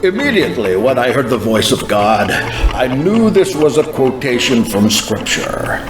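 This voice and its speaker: A man playing a fervent American healing evangelist, solemnly and deliberately recounting a prophetic vision with dramatic emphasis. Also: mid-range voice, very animated, close to an everyday voice. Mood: awed, fervent. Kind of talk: dramatized first-person testimony, scripted narration. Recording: roomy echo with music underneath.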